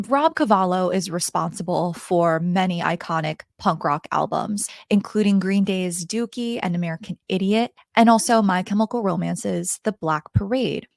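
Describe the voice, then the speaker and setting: A woman with a hoarse, raspy voice chatting straight to her viewers in a casual album review vlog, speaking into a handheld microphone. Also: lowish voice, normal speed, hardly any pauses, no echo, studio quality. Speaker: music reviewer.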